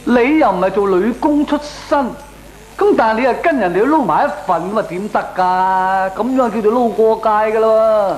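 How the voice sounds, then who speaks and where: A man playing a nosy, teasing, high-voiced Hong Kong neighbour in a Cantonese comic radio drama. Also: normal speed, reverberant, close to an everyday voice, rough recording. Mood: chiding.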